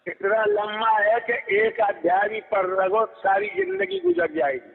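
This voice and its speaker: A man's voice: high voice, sing-song, measured pace, husky. A man arguing heatedly on the phone as a preacher, scolding, imperious and cutting in. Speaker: religious preacher. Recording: tinny phone call recording with hollow reverb.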